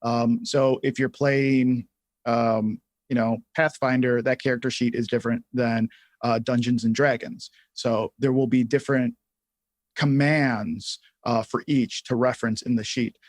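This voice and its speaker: A man hosting a casual live software tutorial, thinking aloud in a halting way as he explains things step by step. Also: mid-range voice, level tone, dry recording. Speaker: livestream host and software instructor.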